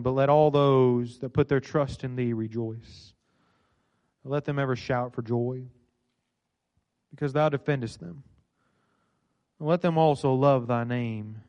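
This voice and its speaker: A middle-aged man preaching a sermon in a church, with a dynamic delivery that swings from loud to soft. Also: medium pitch, dull audio. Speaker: pastor. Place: church sanctuary.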